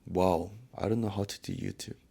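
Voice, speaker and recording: A man giving short, soft-spoken answers in casual chat with his partner, picked up from a distance. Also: low-pitched, fairly even intonation, moderate pace, gravelly, slightly rough. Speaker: vlogger's partner.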